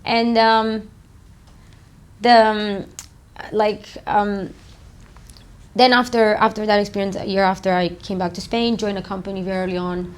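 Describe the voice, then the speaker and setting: A woman's voice: medium pitch, level tone, measured pace, long pauses, smooth. A woman in her thirties from Romania, the founder of a startup, answering interview questions in a loose, rambling way with many "um"s and "like"s, in an office.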